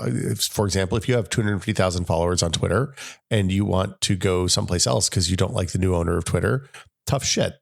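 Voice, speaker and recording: A man's voice: low-pitched, vocal fry. A man co-hosting a casual tech podcast, sounding scratchy from a summer cold, rambling with many restarts and fillers. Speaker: podcast co-host.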